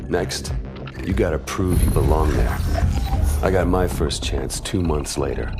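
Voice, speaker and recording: An actor voicing a solemn, resolute young American ex-Marine who narrates a military game's story over music. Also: male voice, a bass voice, unhurried, vocal fry, heavily acted. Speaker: protagonist narrator. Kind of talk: reflective scripted game narration. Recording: loud music under the voice.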